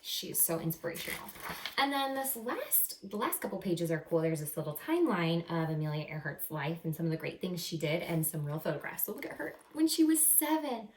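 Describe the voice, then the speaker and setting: A woman reading a picture book aloud to children as a teacher, expressive and encouraging, pausing to explain words simply. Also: lowish voice, slight background noise. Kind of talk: animated children's read-aloud with simple asides. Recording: roomy echo, distant microphone.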